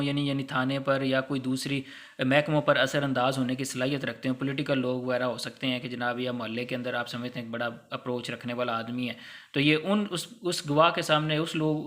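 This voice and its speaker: A Pakistani man teaches in a bright, forceful voice at a middle pitch. He explains in a conversational lecture style, spelling out examples and dropping English legal terms into his sentences. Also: steady tone, brisk. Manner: didactic, conversational, acts out hypothetical examples. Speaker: law lecturer.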